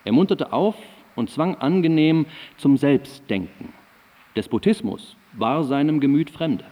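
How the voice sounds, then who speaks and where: An actor playing a learned, reverent admirer recalling an esteemed man in a radio drama, formal, literary and deliberate. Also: on the high side, expressive, dark tone. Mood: reverent, admiring. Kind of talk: radio drama recital of historical testimony. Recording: reverberant hall sound.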